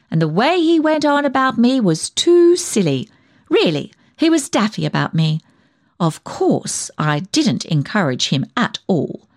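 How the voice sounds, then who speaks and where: A woman's voice: medium pitch, dry recording, clean studio sound. A woman performing a comic monologue as a nosy, tactless village dressmaker who chats on with prying, backhanded remarks. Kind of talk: dramatic monologue reading, comic character storytelling.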